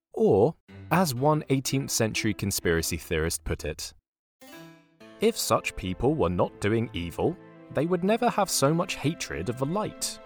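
Narrator: wry, grandiose male storyteller, lowish register, swooping emphasis, mock-serious scripted documentary delivery. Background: music.

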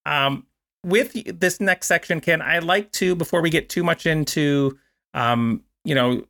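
A man's voice: on the high side, measured pace, a bit bright. A man hosting a podcast interview, chatting in a friendly, rambling way full of fillers. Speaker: podcast host.

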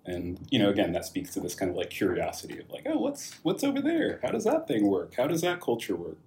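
A man's voice: fairly low pitch, huge pitch swings, a little fast, darkish tone. A young man from California, answering interview questions in a casual, thoughtful way, with many fillers and restarts and light laughs. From California, USA. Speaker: guest, wine company founder.

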